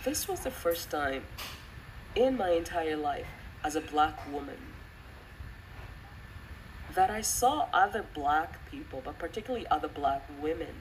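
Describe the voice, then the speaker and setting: Low-voiced woman, noisy distant recording. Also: lively intonation. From Western country, of Rwandan descent. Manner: earnest, reflective, halting with pauses. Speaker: channel host giving commentary. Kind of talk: personal spoken commentary. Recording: distant mic, clearly noisy background.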